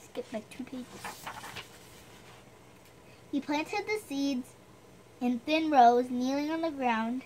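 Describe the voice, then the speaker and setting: High-voiced woman reading a storybook. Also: slow, pauses often, mellow, low-quality recording. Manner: chatty, self-deprecating, stumbles over words while reading. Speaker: host reading a storybook aloud. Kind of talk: storybook reading with casual asides.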